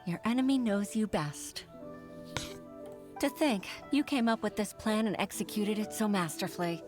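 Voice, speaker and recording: An actress voicing an earnest young clerk in a game who speaks politely and gratefully. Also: female voice, mid-range voice, moderate pace, music in the background, natural voice.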